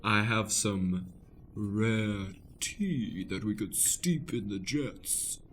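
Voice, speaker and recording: A man voicing a vain, flirtatious, faintly sinister airship hostess in an improvised comedic radio play, with theatrical, swooning, drawn-out delivery. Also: lowish voice, fairly bright tone, soft background music. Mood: seductive.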